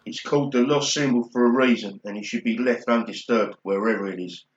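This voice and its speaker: A man's voice: medium pitch, fairly quick, close to an everyday voice. Man playing a creepy rival, roomy dull recording. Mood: menacing. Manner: threatening, melodramatic warnings. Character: creepy menacing male rival bidder, ominous and bitter. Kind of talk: amateur radio play acting.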